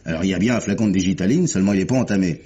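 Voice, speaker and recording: A man playing a chatty, eager police inspector in a mid-century French radio crime drama, telling his findings in a colloquial, animated way. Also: low-pitched, rapid-fire. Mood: pleased, eager. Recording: dull old radio recording, clear hiss.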